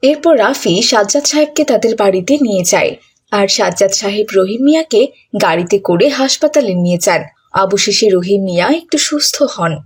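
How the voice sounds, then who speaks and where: A woman with a husky voice narrating a scripted children's story. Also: medium pitch, animated, fairly quick.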